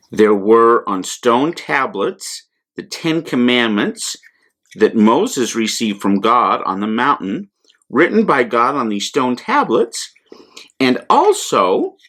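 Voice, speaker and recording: An American man, a priest, telling a Bible story to children in simple words with deliberate repetition for emphasis. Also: medium pitch, a bit bright. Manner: simple, deliberate explanations with repeated phrases for emphasis.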